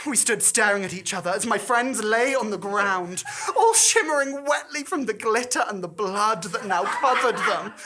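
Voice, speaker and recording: Theatrical, animated woman storyteller. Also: medium pitch, normal speed, few pauses, background noise. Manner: theatrical, intense. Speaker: monologue performer. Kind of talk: dramatic first-person story monologue performed live. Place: small theatre stage.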